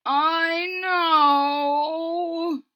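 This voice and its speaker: A woman voicing a sick male storybook rabbit who moans miserably in a drawn-out whine. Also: very high voice, flat delivery, bright, forceful tone, pitched above the performer's own voice, heavily acted. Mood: miserable, moaning. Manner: moaning, drawn-out whining.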